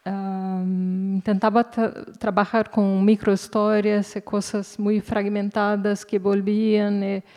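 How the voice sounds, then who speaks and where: A woman, a native Portuguese speaker, talking unscripted as a guest author, haltingly searching for words. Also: medium pitch, level tone, dark tone. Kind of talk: unscripted talk at a literary event. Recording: echoey hall reverb.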